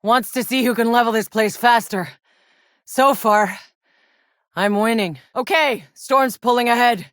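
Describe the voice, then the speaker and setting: A woman's voice: a little high, a little slow, dry recording, studio quality, natural voice. A woman playing a tough, sardonic, battle-hardened soldier in a sci-fi video game, with a wry and blunt way of talking. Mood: wry, energetic.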